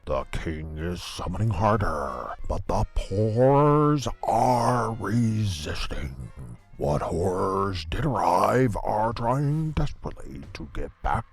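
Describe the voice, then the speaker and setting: An actor playing a deadpan small-town radio host, giving measured, folksy play-by-play of cosmic horror in an audio comedy. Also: male voice, on the low side, slightly rough, soft background music, exaggerated voice. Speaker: co-host and commentator.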